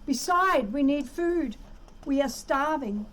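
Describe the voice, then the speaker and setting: A woman's voice: high-pitched, animated, slow, slight background noise. A woman voicing a plain little male turtle in a children's storybook, politely pleading and groaning in pain. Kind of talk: children's storybook read-aloud.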